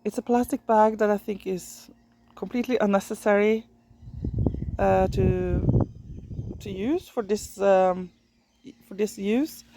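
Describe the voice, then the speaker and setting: A woman's voice: medium pitch, steady tone, slow, pauses often, warm tone, a little hiss or hum. A woman from Norway with a Norwegian accent, talking unscripted in a vlog in her second language, hesitant and searching for words. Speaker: vlogger. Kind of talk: unscripted explanatory vlog talk.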